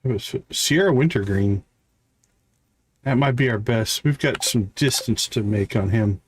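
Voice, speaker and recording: A man's voice: mid-range voice, a little slow. A man giving casual live gameplay commentary, thinking aloud and chatting with viewers as he plays. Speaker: live streamer playing a farming game.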